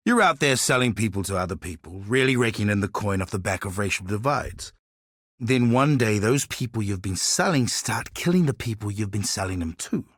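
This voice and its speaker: An actor playing a sly, world-weary male barber and informant in a video game, husky and wry in his phrasing. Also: on the low side, fairly bright tone, no echo, studio quality, exaggerated voice. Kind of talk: scripted video game character dialogue.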